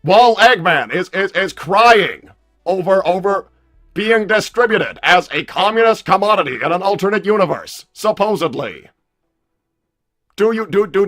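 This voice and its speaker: A man voicing a loud, belligerent combat robot with stilted, mechanical, declamatory delivery in a livestream roleplay. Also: sits high. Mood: flat, mechanical. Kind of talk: improvised character roleplay on a livestream.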